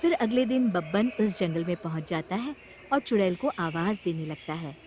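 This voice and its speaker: A woman's voice: lowish voice, animated, normal speed, a little mellow, a little hiss or hum. A woman narrating a story in a dramatic, scripted storytelling style.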